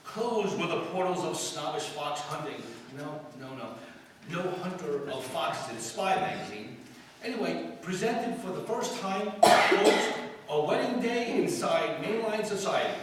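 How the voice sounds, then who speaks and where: A man's voice: on the high side, lively intonation, a little slow. A man playing a pompous, bossy magazine publisher in an old-time radio drama. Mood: declaiming. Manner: self-important, commanding, blustery. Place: live stage performance. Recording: echoey hall, distant mic, noisy.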